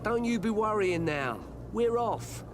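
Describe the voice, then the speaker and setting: An actor playing a deferential, eager hired hand in a video game. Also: male voice, sits high, lively intonation, measured pace, noisy background. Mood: obliging. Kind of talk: video game cutscene acting.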